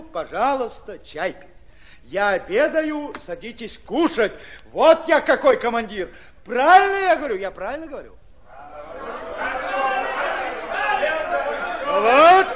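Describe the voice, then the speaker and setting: An actor playing a fiery, folksy Red Army commander in a radio drama, with plain peasant speech delivered forcefully. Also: male voice, extremely high pitch, exaggerated voice. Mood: hearty, earnest.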